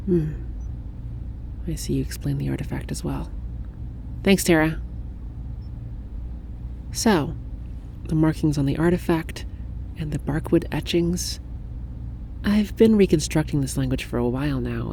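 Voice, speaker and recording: Woman playing a soft, dark-voiced, hesitant researcher. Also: deep voice, creaky, slight background noise. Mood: thoughtful. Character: suspicious young female linguist researcher, secretive and businesslike. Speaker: researcher at a parapsychology institute. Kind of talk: ASMR roleplay, soft-spoken.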